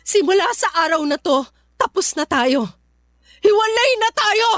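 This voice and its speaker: A woman's voice: very high voice, lively intonation, normal speed, fairly bright tone, dry recording, studio quality. An actress with a Filipino accent playing a betrayed, furious young woman in a radio drama, scolding with intense anguish. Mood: firm, wounded. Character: betrayed, furious, heartbroken young woman leaving her cheating boyfriend.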